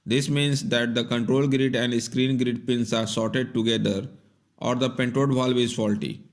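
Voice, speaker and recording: A man's voice: mid-range voice, fairly even intonation, a little slow. A man giving scripted, step-by-step instructional narration in a plain, methodical way. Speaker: repair tutorial narrator.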